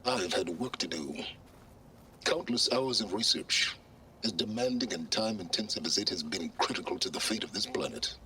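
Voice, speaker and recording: A man playing an arrogant, self-important scholar in a video game, with pompous, condescending and deliberate phrasing. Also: lowish voice, animated, sharp tone, slight background noise, poor audio. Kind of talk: video game cutscene acting.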